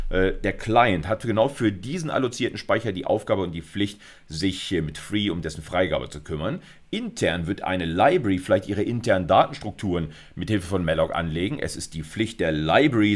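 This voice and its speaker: Male lecturer, middle-aged, low pitch, conversational explainer delivery for a university video course. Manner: conversational, often checks in with short tags. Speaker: university computer science lecturer.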